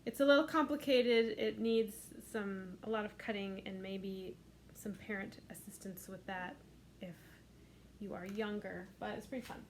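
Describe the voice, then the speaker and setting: A middle-aged woman, a children's librarian, reading a picture book aloud at storytime in a gentle, expressive voice with sing-song lifts. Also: mid-range voice, normal speed, slight background noise.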